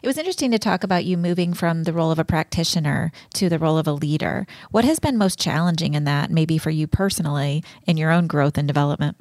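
A woman's voice: low voice, fast, hardly any pauses, a little mellow, no echo, studio quality. A woman hosting an interview, asking open questions and answering with short, affirming replies. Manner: affirming, brief encouraging replies between questions. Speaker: podcast host and interviewer. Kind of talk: conversational interview.

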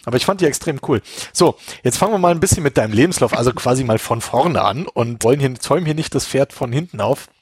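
A man's voice: medium pitch, animated, quick pace, breathy edge, dry recording. A man from Berlin hosting a casual interview, chatty and joking. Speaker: interview host.

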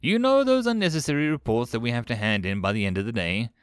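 A man's voice: medium pitch, animated, quick pace, clean tone, dry recording, clean studio sound, natural voice. A man voicing an easygoing, slightly sheepish human office worker who talks casually and hesitantly in a story.

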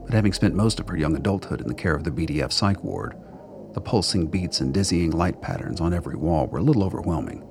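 A man's voice: deep voice, animated, fast, creaky, background noise. A man narrating a scripted radio drama as a storyteller.

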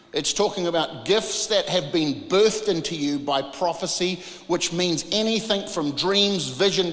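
A man from New Zealand with a New Zealand accent preaches an emphatic, informal charismatic sermon, using dramatic pauses and rhetorical questions. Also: high-pitched, a bit bright. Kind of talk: charismatic sermon, part exhortation and part Bible reading. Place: large reverberant room, preaching to a congregation. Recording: echoey, reverberant large room.

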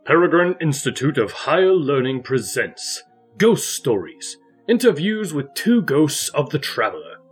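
A man's voice: a little high, big pitch swings, measured pace, faint music behind. A man narrating a scripted dramatic reading in a formal, announcing manner. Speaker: narrator and reader.

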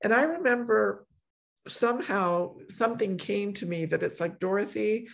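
Chatty woman with a gravelly edge. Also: mid-range voice, normal speed. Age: middle-aged. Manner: chatty, many fillers and restarts. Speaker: podcast host. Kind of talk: casual conversation.

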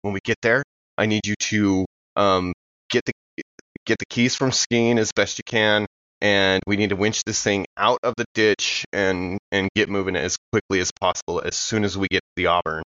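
A man with a lowish voice talks through his moves as a player in a tabletop game. He is casual and rambles as he thinks aloud, on a dry, slightly dull lo-fi recording. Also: steady tone, moderate pace, sharp tone, no room sound. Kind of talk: casual improvised role-play, describing own actions.

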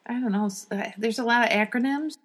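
A woman's voice: fairly high pitch, fast talker. A woman taking part in a casual podcast conversation as a guest. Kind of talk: casual chat.

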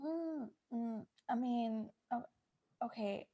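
A woman's voice: fairly high pitch, slow, warm tone, muffled recording. A woman playing a flustered, suspicious customer in an improvised comedy sketch, hesitant and then indignant. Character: flustered, suspicious female dog-sitting customer phoning in.

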